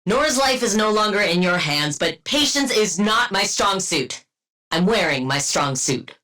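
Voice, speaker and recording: A woman's voice: medium pitch, lively intonation, measured pace, bright, forceful tone, far from the mic. A woman playing a brilliant, dry-witted scientist villain in a video game, clinical and precise, delivering short sardonic combat lines.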